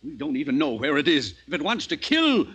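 Husky, stern radio-drama friend. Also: male voice, fairly high pitch, wildly swooping pitch, a little fast, full character voice. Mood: urgent reproach. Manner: forceful, reproving, earnest. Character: stern, practical male friend of the scientist. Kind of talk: 1950s radio drama acting.

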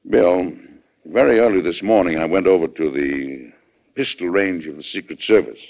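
Commanding, low-voiced American president. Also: male voice, moderate pace. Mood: insistent, fatherly. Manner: blunt, assertive. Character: firm, plain-spoken American male president, shrewd and commanding. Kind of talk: old radio drama acting. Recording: dull, band-limited vintage radio recording.

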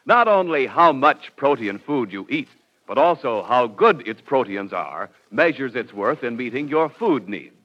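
A man's voice: medium pitch, big pitch swings, measured pace. A male announcer delivering scripted narration in a polished, emphatic 1940s radio style. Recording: dull vintage radio recording.

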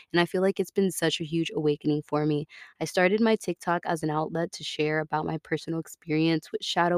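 This is a young American woman in her early twenties with a low-pitched voice. She talks like a podcast host alone with her listeners, informal, chatty and candid. The sound is close and dry, with very little room around her words. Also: darkish tone, no echo. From Florida, United States. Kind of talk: casual personal podcast monologue.

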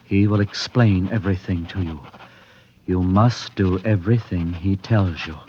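A man's voice: low-pitched, fairly even intonation, unhurried. A man playing a cold, secretive murderer in an old-time radio drama, curt and controlled. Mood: measured. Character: cold, secretive, elegantly dressed male murderer. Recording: muffled, noisy vintage radio recording.

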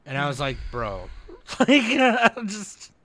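A man's voice: sits high, wildly swooping pitch, slow pace, bright, forceful tone. A man hosting a podcast in casual chat, talking in profane, bro-heavy slang. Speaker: podcast host.

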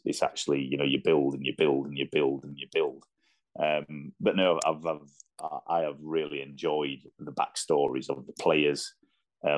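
A man with a Yorkshire accent and a very deep voice. He talks in a casual, reflective way, like a fan giving considered opinions on a sports podcast. His delivery is earnest and conversational.

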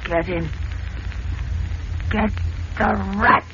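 Gasping, halting dying villainess. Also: female voice, medium pitch, fairly even intonation, creaky. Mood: gasping, bitter. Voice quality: gasping, weak. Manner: halting, groaning, bitter. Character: dying villainess, gaunt, vengeful, shot crime boss. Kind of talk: 1940s radio acting. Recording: muffled, noisy old radio recording.

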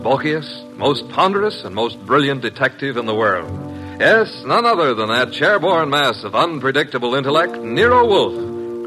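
A man's voice: mid-range voice, rough recording. A man announcing in a grand, theatrical 1940s radio style. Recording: old radio broadcast recording. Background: loud music.

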